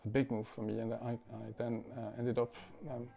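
A man in his forties from France, a musician talking thoughtfully at home, with many pauses, fillers and restarts. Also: medium pitch, monotone, gravelly, dark tone. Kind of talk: reflective interview talk. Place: living room at home.

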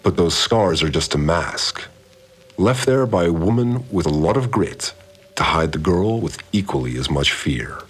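A deep, cryptic cult priest. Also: male voice, expressive, slightly rough, full character voice. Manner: measured, solemn, introspective narration. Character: calm, cryptic, controlling male cult priest. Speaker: narrator, the priest figure. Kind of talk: scripted audio drama narration. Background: soft music.

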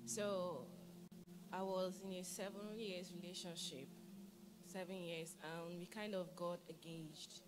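A woman's voice: on the low side, flat delivery, takes it slowly, poor audio. A young woman recounting her story plainly into a handheld mic among a church congregation. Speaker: congregation member giving testimony. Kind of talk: personal testimony.

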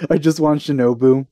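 A young man talks informally to his viewers as a reaction host. His voice is mellow and dry, picked up close on a headset. He sounds enthusiastic while keeping it casual. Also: on the high side, dry recording. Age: young adult. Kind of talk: casual chat reacting to a game trailer. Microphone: headset microphone.

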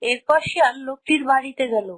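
A woman storyteller reads a scripted children's tale. Her voice is high and bright. The recording is dry, with no room sound. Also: fast, no room sound. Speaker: storyteller narrator.

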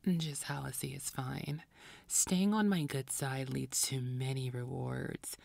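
A woman voicing an imperious vampire boss in an audio roleplay, commanding, condescending and deliberate. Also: a bass voice, fairly even intonation, creaky, darkish tone, dry recording, heavily acted. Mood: imperious, menacing.

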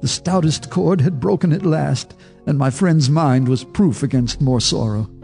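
A grave horror narrator. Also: male voice, on the high side, vocal fry, warm tone, soft background music. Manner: grave, measured storytelling. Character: haunted male neighbor telling a horror tale. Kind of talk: radio drama narration.

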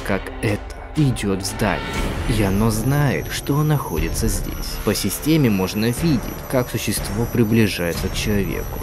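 A man reading a scripted horror video narration as a narrator. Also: lowish voice. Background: background music.

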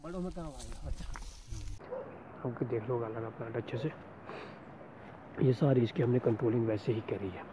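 A man's voice: medium pitch, noisy background. A man in his thirties explaining apple tree pruning in a hillside orchard outdoors, recorded on a camera mic. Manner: quick, instructive explanations. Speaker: apple grower.

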